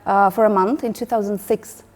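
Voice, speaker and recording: A Moldovan woman in her forties with a Moldovan accent, telling stories as a guest in a casual interview, animated and laughing, with many restarts. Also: medium pitch, moderate pace.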